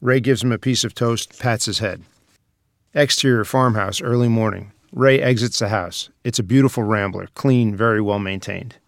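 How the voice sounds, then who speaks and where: A man's voice: on the low side, normal speed, vocal fry, no echo, studio quality. A man reading scripted stage directions as the narrator of a screenplay table read.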